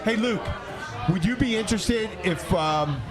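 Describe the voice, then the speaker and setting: Male host, high-pitched, loose rambling banter, heavy crowd chatter around him. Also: expressive, a little slow. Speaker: podcast host. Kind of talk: casual bantering chat. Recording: loud crowd chatter, outdoor urban spot.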